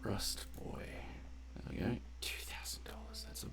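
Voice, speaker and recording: A man giving casual gameplay commentary, muttering asides and exclaiming as he reacts out loud. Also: moderate pace, in a whisper, music in the background. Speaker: gamer narrating a playthrough.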